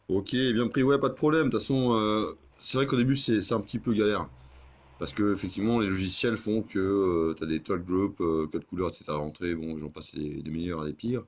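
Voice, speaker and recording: A man's voice: low-pitched, moderate pace. A man chatting casually as an amateur radio operator, with crude, joking, sweary banter.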